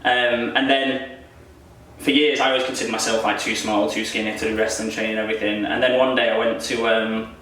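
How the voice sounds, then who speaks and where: A young man talks quickly in a loose, rambling way, often poking fun at himself and laughing. His voice sits at an ordinary pitch but comes from some distance off the mic, and it bounces around a very echoey room. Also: level tone, a bit bright. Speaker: wrestler interviewee. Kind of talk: casual interview chat.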